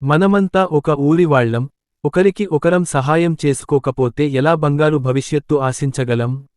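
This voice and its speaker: A proud, steadfast village farmer and father, acted by a man in a moral tale. The voice is on the high side for a man, recorded close and dry. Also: measured pace, a little mellow, no room sound, lightly acted. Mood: gentle, earnest. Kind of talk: scripted moral story dialogue.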